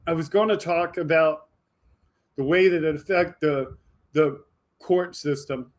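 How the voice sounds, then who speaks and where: A man with a somewhat high-pitched voice hosts a political video podcast. He speaks in an impassioned, emphatic monologue aimed straight at his viewers. His delivery moves between forceful outbursts and quieter asides. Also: measured pace. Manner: emphatic, fired up, addressing viewers directly. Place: indoor home studio with green screen.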